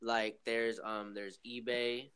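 A man's voice: mid-range voice, level tone, slow pace, a bit bright, clean tone. An eighteen-year-old man chatting casually on a call, laid-back and slangy, saying "bro" and "like" a lot. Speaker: shoe reseller, host of the call. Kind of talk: casual chat.

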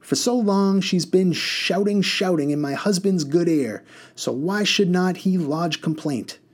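A man's voice: sits high, unhurried, voice pushed up. A man voicing a quarrelsome woman passenger who jeers scornfully. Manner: jeering, scornful.

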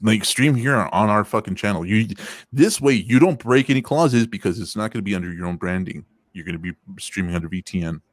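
A young man with a low, deep voice that stays smooth and free of gravel. He co-hosts a casual chat, teasing his partner and swearing freely. His voice sits close and dry in a dead room. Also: brisk, no echo. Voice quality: deep voice without crackle. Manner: crude, teasing, laughs often. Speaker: podcast co-host.

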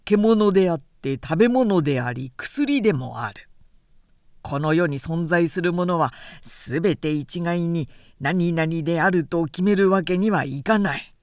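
A middle-aged samurai elder brother, acted by a woman reader, talks in a lowered, swooping voice. He is pompous and long-winded, lecturing with great self-importance in a storybook tale. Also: animated, a little slow, a little mellow, no room sound, clean studio sound. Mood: scornful lecturing.

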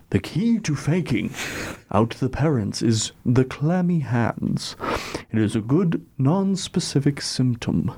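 A man doing an impression of a scheming teenage boy who fakes being sick, in a slow, conspiratorial movie-monologue delivery. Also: medium pitch, a little mellow, husky, full character voice.

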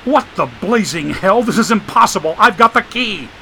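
A man voicing an irritable, short-tempered mansion owner who talks in a gruff, exasperated way. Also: a little high, expressive, normal speed, bright, forceful tone, slight background noise. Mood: furious.

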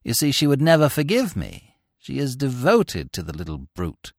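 A man reading aloud, voicing a lovesick young man who speaks softly, slowly and wistfully. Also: wildly swooping pitch, vocal fry, dry recording, clean studio sound, full character voice. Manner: low, hushed, slow and wistful.

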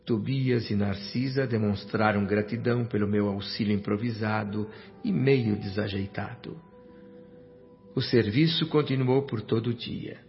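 A man's voice: fairly low pitch, measured pace. An actor with a Brazilian accent playing an earnest, humbled doctor who narrates his experiences formally and reflectively in a radio drama. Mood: awed, reflective. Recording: dull lo-fi radio drama with music bed.

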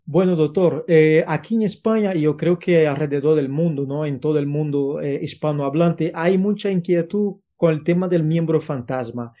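A man's voice: on the high side, normal speed, few pauses, mellow, highs cut off. A man hosting a podcast interview, formally welcoming and questioning his guest. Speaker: podcast host.

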